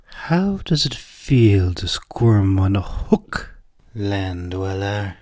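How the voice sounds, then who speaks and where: A man's voice: on the low side, big pitch swings, a little mellow, no room sound, studio quality, full character voice. A man voicing a scornful, venomous ageless mermaid woman with a taunting hiss, in a fantasy audiobook. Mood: venomous, menacing. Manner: taunting, slow hissing.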